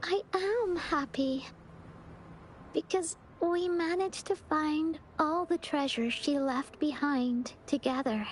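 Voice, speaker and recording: A woman voicing a sweet, wistful little girl in a video game, speaking gently and earnestly. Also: high voice, a little hiss or hum. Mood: gently hopeful.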